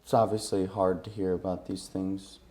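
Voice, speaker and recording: A man hosting a gentle conversational interview, speaking in a sympathetic, affirming way. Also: sits low, level tone, moderate pace. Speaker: interviewer, host.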